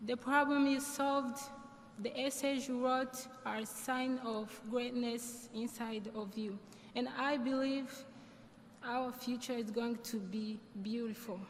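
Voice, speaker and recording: A woman's voice: fairly high pitch, steady tone. A young woman with a non-native English accent giving an earnest graduation speech as a student speaker. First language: not English. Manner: earnest, measured delivery. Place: large hall. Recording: hall reverb, faint noise.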